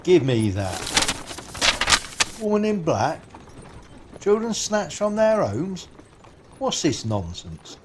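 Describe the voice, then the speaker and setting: An actor playing a gruff, self-important Scotland Yard policeman in a period audio drama, irritable and sceptical. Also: a little high, very animated, slow, pauses often, heavy background noise. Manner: irritable, scoffing.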